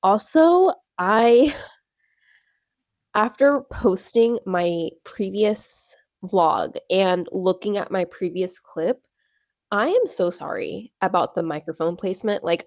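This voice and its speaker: A woman's voice: on the low side, a little mellow, no room sound, studio quality. A young woman with a stuffy nose from a cold, rambling casually in a reading vlog, full of "like" and fillers. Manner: rambling, filler-heavy, lots of "like". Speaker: book vlogger. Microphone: clip-on or small personal microphone.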